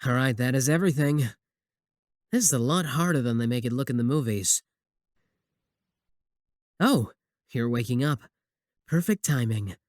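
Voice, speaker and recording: A man's voice: mid-range voice, animated, normal speed, long pauses, darkish tone, no echo, lightly acted. Man acting a possessive young admirer, close and dry. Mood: gloating, menacing. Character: possessive, obsessive young male student, sweet yet menacing admirer. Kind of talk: scripted ASMR roleplay acting.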